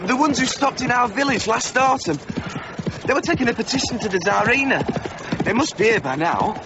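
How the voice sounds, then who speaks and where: A keen young village smith, very high lively voice, muffled old radio recording. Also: male voice, normal speed. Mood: earnest. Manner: earnest, pleading. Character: earnest young village blacksmith man, bold. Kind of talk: 1990s radio drama acting. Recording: dull lo-fi radio recording, background noise.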